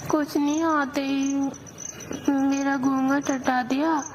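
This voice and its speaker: A woman's voice: high-pitched, flat delivery, takes it slowly, background noise. A young woman playing a hesitant newlywed bride who confides plaintively in her lover, as if on an intimate phone call. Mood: hesitant, confiding. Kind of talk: intimate phone-call storytelling in audio drama.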